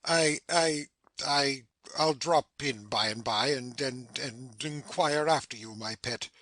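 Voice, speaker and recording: A man playing an excitable, fond, fussy older uncle in a stage comedy audio play, stammering and repeating himself effusively. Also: medium pitch, slow pace, no room sound. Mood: effusive, gushing.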